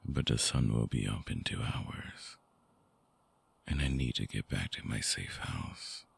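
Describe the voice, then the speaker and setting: Man playing a very deep, gravelly vampire lover. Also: full character voice. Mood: tender, reluctant. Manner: slow, hushed, coaxing, with long pauses. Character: brooding seductive male vampire, lovesick, possessive, manipulative ex-lover. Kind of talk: intimate ASMR romantic audio roleplay. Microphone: close microphone, dry binaural recording.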